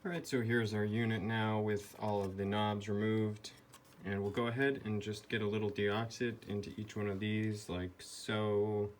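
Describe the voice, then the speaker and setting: A man's voice: lowish voice, flat delivery, normal speed. A man giving a hands-on repair tutorial, explaining things step by step. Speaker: repair video host. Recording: clearly noisy background.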